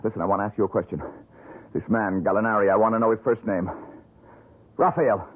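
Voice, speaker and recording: A man's voice: on the low side, fairly even intonation, fast talker, husky. An actor playing a desperate, accusing young man who speaks urgently, in a 1970s radio drama heard over a tinny old broadcast. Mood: anxious. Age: young adult. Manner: urgent, agitated, pleading.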